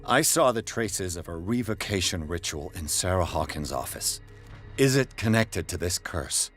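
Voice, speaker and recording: A man's voice: low voice, lively intonation, moderate pace, fairly bright tone, soft background music. A man playing a tense, bewildered investigator on the run in a video game, speaking in a terse, urgent, questioning manner. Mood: probing, disbelieving. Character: tense, bewildered male investigator escaping captivity, pressing for answers. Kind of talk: dramatic video game voice acting.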